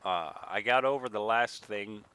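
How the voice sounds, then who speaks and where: A man giving casual, rambling let's play commentary, thinking aloud at an unhurried pace, slightly nasal with a mild sniffle. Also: on the low side, sharp tone, clear, resonant tone. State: mild sniffle from a recent cold. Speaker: gaming video creator, player.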